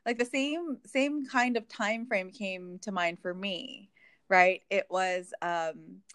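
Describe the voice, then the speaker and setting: A woman's voice: medium pitch, takes it slowly, fairly bright tone, no room sound, studio quality. A woman from Hawaii in a reflective, casual conversation, talking with many fillers and restarts.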